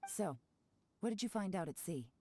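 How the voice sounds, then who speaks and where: Actress playing a cool, low-voiced spymaster. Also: female voice, slight background noise, close to an everyday voice. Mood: commanding. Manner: calm, measured, knowing. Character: cool, composed woman intelligence agent. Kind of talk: game dialogue. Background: game music.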